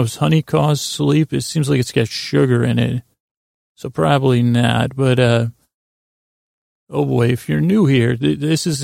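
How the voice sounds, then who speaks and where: Gravelly, meandering male podcast host. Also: mid-range voice, no room sound, clean studio sound. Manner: meandering, halting, with stammered restarts and trailing tangents; absurd, self-deprecating deadpan humour. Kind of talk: rambling bedtime podcast monologue.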